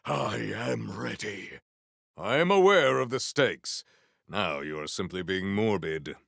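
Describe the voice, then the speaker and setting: A proud, battle-hungry game warrior with a low, gravelly voice. He speaks in formal, ceremonious phrases, grave and stern, at a slow and weighty pace. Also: lively intonation, a bit bright, dry recording, clean studio sound, heavily acted. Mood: grave, composed. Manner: formal, measured, ceremonious diction, few contractions. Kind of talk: video game character voice lines.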